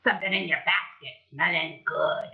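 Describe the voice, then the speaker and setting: A woman reading a storybook, voicing a sly male wolf with a low, slow drawl, in a dull, echoey room. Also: fairly even intonation, vocal fry.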